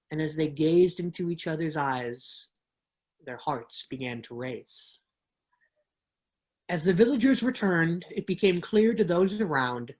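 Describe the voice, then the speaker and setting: A woman reads children's books aloud in a fairly low voice. Her storytelling is expressive and measured, in the manner of a dramatic reading.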